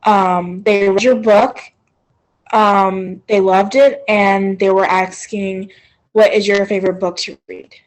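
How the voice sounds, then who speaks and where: A woman moderating a library author talk, reading out audience questions with many hesitant pauses. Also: mid-range voice, little pitch movement, low-quality recording.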